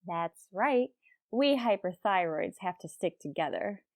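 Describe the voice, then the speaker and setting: Wry, deadpan radio comedy schoolteacher played by an actress. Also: female voice, medium pitch, a little slow, no echo, studio quality. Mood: dry, wry. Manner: deadpan, sarcastic asides. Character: wry, lovelorn female schoolteacher with dry wit. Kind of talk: old-time radio situation comedy acting.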